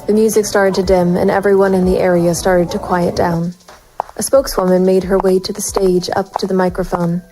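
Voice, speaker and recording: A woman's voice: on the low side, flat delivery, a little fast, over music, natural voice, rough recording. A woman playing a wary, bitter private investigator, narrating a noir audio drama in the first person. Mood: wary, cynical.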